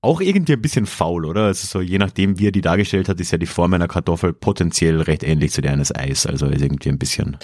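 A man's voice: deep voice, quick pace, few pauses, a little mellow, dry recording, clean studio sound. A man hosting a podcast quiz in a casual, chatty way, reading out clues and commenting on the answers. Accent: South Slavic-influenced pronunciation of foreign names. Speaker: quiz host.

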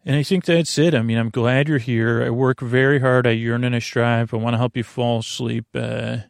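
Creaky-voiced man rambling through a bedtime monologue. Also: medium pitch, steady tone, dry recording, clean studio sound. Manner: meandering, repetitive, halting, full of tangents. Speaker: podcast host.

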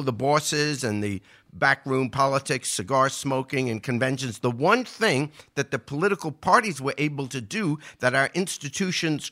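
An older American man delivers conversational political commentary in a bright, forceful voice. He explains in an emphatic way, posing rhetorical questions and building his argument point by point. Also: medium pitch. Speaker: podcast host giving political commentary.

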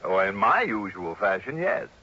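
Wisecracking radio detective: bright, raspy, genial, crisp, on dulled vintage broadcast sound. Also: male voice, on the low side, sing-song, moderate pace, exaggerated voice. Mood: breezy, jovial. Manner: wry, casual banter and crisp first-person narration. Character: genial, wisecracking male freelance insurance investigator, adult. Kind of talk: 1960s radio drama acting. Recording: dull early-1960s radio recording.

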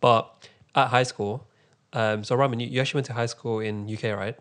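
A man's voice: mid-range voice, fairly even intonation, fairly bright tone. A man hosting a casual chat, asking his guests questions. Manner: quick, chatty, asks questions.

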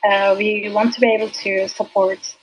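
A woman whose first language is Turkish, a UX designer as a podcast guest, talking hesitantly with many fillers and soft laughs. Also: medium pitch, level tone, normal speed, crowd noise, rough recording. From Turkey. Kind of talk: casual interview.

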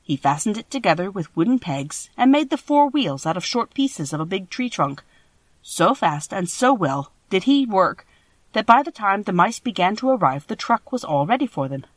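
Animated woman narrating a storybook. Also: mid-range voice, moderate pace, dry recording. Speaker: audiobook narrator.

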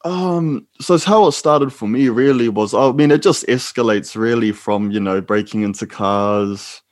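A man's voice: mid-range voice, moderate pace. A man with a New Zealand accent, a former prisoner, talking as a guest in a casual, rambling way. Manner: laid-back, rambling, calls the host bro. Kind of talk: casual interview talk.

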